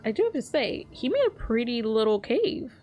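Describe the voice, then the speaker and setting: A woman's voice: a little high, big pitch swings, moderate pace, dark tone. A young woman giving casual, chatty, slangy reaction commentary as she plays a video game. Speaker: gaming streamer. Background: game theme music.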